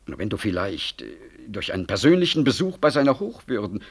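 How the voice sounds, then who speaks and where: Pompous smooth politician in an old radio play, very animated pitch swings, condescending. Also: male voice, fairly quick, exaggerated voice. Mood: diplomatic, placating. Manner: rhetorical, condescending, diplomatically evasive. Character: smooth, ambitious male government official, pompous and calculating. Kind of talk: 1960s German radio play acting.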